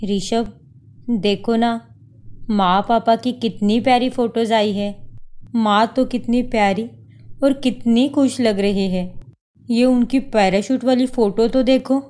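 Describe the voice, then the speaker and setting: A woman doing an excitable young daughter-in-law from a cartoon story who swings easily into strong feeling. Her voice sits higher than usual, and she talks in slow, emphatic bursts. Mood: fond. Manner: excitable, emotional.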